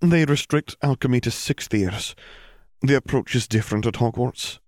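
Storybook role: strict older witch professor, played by a man, formal and measured, raised pitch, close dry recording. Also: no echo, natural voice. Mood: brisk, instructive. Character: stern older female magic school teacher.

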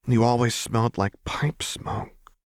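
A man voicing a dazed, grief-stricken young woman in an audiobook, speaking in a quiet, breathy, pleading way. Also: mid-range voice, fairly even intonation, a little slow, no echo, studio quality, voice pushed up, close to an everyday voice. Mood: dazed, hushed. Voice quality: hushed, breathy.

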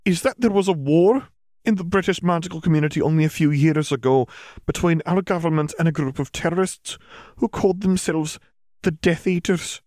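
Man voicing a stern older witch professor, pitched high. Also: a little mellow, dry recording, clean studio sound, lightly acted. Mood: grave. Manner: measured, formal, explanatory.

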